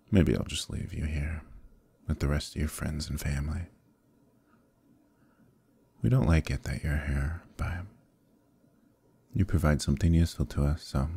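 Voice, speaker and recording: Male actor as evil conquering knight, extremely low voice, husky, condescending, softly threatening, intimate ASMR roleplay. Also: no echo, exaggerated voice. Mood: cold, menacing. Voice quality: very deep, gravelly, husky. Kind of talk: ASMR roleplay, slow intimate dramatic monologue.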